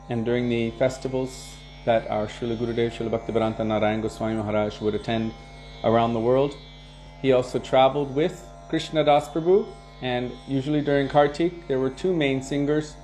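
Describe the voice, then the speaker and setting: Relaxed man telling devotional stories. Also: medium pitch. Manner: relaxed, anecdotal, chuckles now and then. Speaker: kirtan leader and devotional teacher. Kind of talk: casual devotional talk answering viewer questions.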